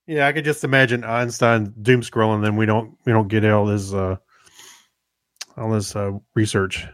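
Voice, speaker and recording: A man co-hosting a podcast in casual, unscripted chat, rambling along in a laid-back, halting way. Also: lowish voice, fairly even intonation, no echo, studio quality. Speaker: podcast co-host.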